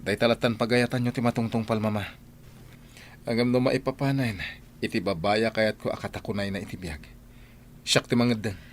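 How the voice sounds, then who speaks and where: A man's voice: on the low side, steady tone, a little fast, long pauses, natural voice. A man with an Ilocano accent playing a defiant, argumentative grown son in a radio drama. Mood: indignant. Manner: emphatic, argumentative. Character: defiant grown son standing up to his mother.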